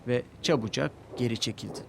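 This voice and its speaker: A man's voice: medium pitch, fairly quick, vocal fry, a little mellow, slight background noise. A man narrating a scripted children's story with dramatic storytelling delivery. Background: music.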